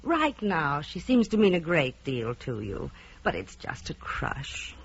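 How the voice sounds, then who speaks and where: Smothering, patronising wife for old-time radio thriller: husky, animated, emphatic, muffled sound. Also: deep voice, measured pace, full character voice. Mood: insistent, scolding. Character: possessive, mothering wife, condescending and stubborn. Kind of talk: mid-century radio drama acting. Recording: dull old radio recording.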